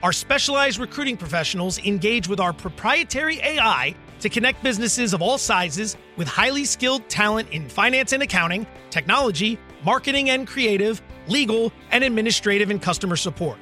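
Ad voice, man, high and very bright, polished read, faint music underneath. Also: lively intonation, fairly quick. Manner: polished, upbeat pitch. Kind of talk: radio ad read.

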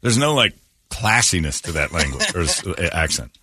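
Male morning radio host, blunt and mocking, forceful raspy delivery, close dry studio sound. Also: sits low, sing-song, moderate pace, no echo. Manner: blunt, mocking, breaks into put-on voices. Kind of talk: comedic banter, riffing.

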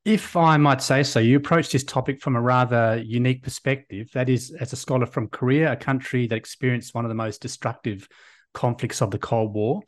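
A man hosting a podcast interview, reading a measured, formal introduction before questioning his guest. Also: medium pitch. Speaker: podcast co-host and history lecturer.

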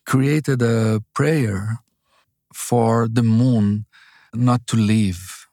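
An older man with a Brazilian accent, a guest author speaking haltingly and searching for words in an interview. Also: medium pitch, mellow, dry recording, studio quality. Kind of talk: interview conversation.

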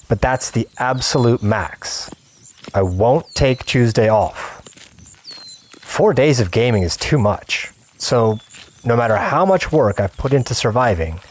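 A man's voice: on the low side, darkish tone, a little hiss or hum. A man playing an earnest, obsessive gamer in an audio drama, confiding his play session in short, broken-up phrases. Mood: self-justifying. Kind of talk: first-person scripted audio drama narration.

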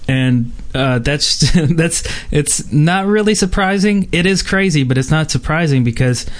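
A man's voice: a little high, lively intonation, measured pace. Rambling man hosting a gaming podcast. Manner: rambling, many fillers and restarts. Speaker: podcast host. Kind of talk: casual podcast chat reading gaming news.

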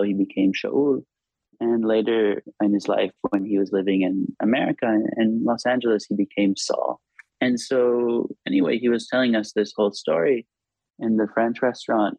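A man's voice: lowish voice, vocal fry, darkish tone, no echo. A man whose first language is Hebrew, an author talking reflectively in an interview with many restarts. The recording sounds dull and lo-fi. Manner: halting, thoughtful, frequent restarts.

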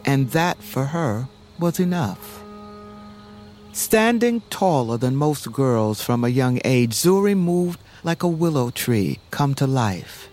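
Male narrator for scripted fantasy audio fiction: solemn, dramatic, unhurried delivery, clear music bed. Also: medium pitch, darkish tone. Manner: measured, solemn storytelling.

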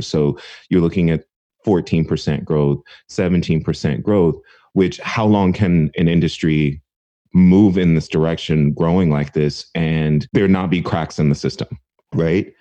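A man with a very low, warm voice hosts an interview podcast, eager and a little stumbling as he speaks. The audio is dry and close, with a slightly dull, muffled tone. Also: no room sound. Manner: enthusiastic, with small stumbles. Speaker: podcast host, assistant professor.

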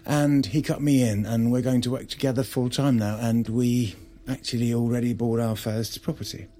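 A man's voice: medium pitch, steady tone, normal speed, mellow, a little hiss or hum, natural voice. A man playing a defensive estranged husband who wants change, in a naturalistic audio drama, justifying himself with some hesitation. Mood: upbeat.

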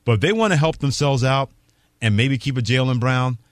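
A man's voice: mid-range voice, brisk, no room sound, clean studio sound. An American man hosting a sports talk radio show with opinionated banter, emphatic and fond of piling up phrases.

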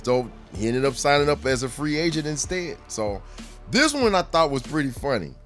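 A man's voice: medium pitch, big pitch swings, normal speed, a bit bright, faint music behind. Man casually telling rambling sports stories. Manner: rambling, conversational, chuckling asides. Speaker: podcast host.

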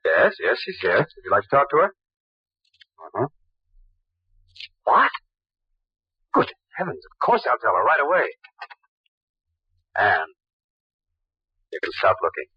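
Glib evasive gentleman, old radio drama, husky and very animated, muffled recording. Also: mid-range voice, a little slow, long pauses, no room sound, heavily acted. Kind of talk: old-time radio mystery acting.

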